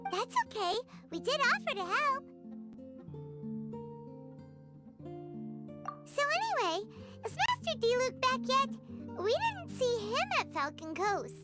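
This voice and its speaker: A woman voicing a tiny, excitable, childlike fairy companion in a video game, chirpy in manner. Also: very high voice, normal speed, exaggerated voice. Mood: bright, curious. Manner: chirpy, speaks of herself in third person. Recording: loud music behind the voice.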